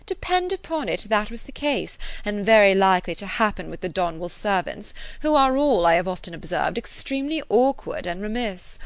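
A self-satisfied young wife who gushes and chatters in an affected way, put on by a woman reading aloud. Her voice climbs high and rings loud. The recording is dry and close. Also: sing-song, normal speed, hardly any pauses, dry recording. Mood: scolding. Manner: effusive, affected and gushing.

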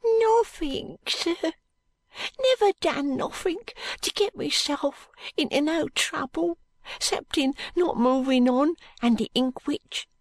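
Woman as a frightened, high-voiced Cockney street boy. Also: dry recording. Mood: wretched, defensive. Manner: hushed, halting, uneducated speech. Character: frightened, ragged London street boy, wretched and wary.

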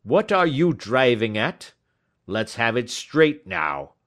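Audiobook part: gruff, snappish stallholder, acted by a male reader, cocky and blustering, with lively swings in pitch. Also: takes it slowly. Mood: irritated, blustering. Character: gruff, hot-tempered cocksure market poultry salesman. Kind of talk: audiobook character dialogue.

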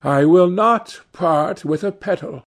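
A man's voice: high voice, takes it slowly, pitched above the performer's own voice, heavily acted. A man voicing a witty, coquettish aristocratic duchess in an audiobook, in an arch manner. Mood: wistful, arch.

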